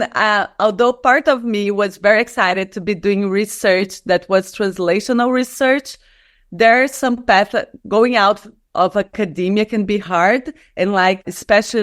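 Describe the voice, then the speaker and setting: A woman's voice: medium pitch, a little slow. Brazilian-accented woman explaining earnestly. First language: Portuguese. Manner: non-native English phrasing, earnest explaining. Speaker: guest, skin and stem cell researcher. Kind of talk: conversational interview, personal storytelling.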